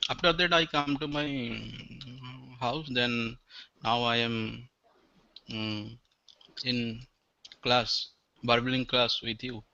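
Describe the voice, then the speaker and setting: A man who is a non-native English learner, answering in class haltingly as he searches for words. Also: mid-range voice, fairly even intonation, gravelly, sharp tone, no echo. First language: not English. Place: group video call. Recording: muffled, lo-fi online call.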